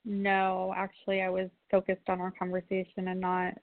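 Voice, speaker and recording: A woman in her forties with a gravelly, creaky edge to her voice. She talks in a chatty, hesitant way, with many fillers. She sounds dry and tinny, as if over a phone line. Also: mid-range voice, monotone, moderate pace, no echo. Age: 40s. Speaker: psychic medium guest. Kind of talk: live call-in reading.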